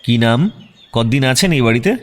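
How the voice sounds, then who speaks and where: A man's voice: mid-range voice, lively intonation, lightly acted. Actor as direct, probing male detective. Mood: inquisitive. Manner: questioning, brisk, direct. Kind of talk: radio audio drama acting.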